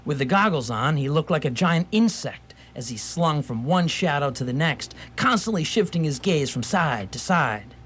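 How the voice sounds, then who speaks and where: A man playing a wry storyteller, narrating a scripted radio theatre story in a measured way. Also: a little high, lively intonation, a bit bright, husky. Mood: wry narration.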